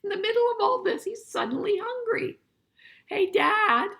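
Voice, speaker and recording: An older woman reading a picture book aloud to children in an expressive way, pausing to chat and ask them questions. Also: extremely high pitch, creaky. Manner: expressive, asking listeners questions as she goes. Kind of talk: children's storybook read-aloud with conversational asides and questions.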